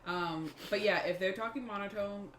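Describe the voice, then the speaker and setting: A woman's voice: medium pitch, moderate pace, echoey room, a loud crowd. A woman player chatting casually during a tabletop roleplaying game. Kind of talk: casual, animated group chat. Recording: other voices chattering around her.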